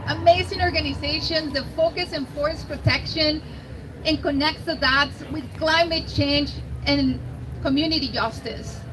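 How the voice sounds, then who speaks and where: A woman from Mexico with a Mexican Spanish accent, giving an earnest, deliberate speech at an outdoor climate rally. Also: high-pitched, steady tone, background noise. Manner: earnest, slow and deliberate, grateful. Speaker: rally speaker.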